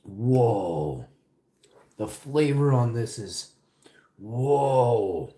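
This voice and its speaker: A man's voice: medium pitch, expressive, drawn-out words, dark tone. A man live-streaming, chatting casually with his viewers and answering their questions between bites of food.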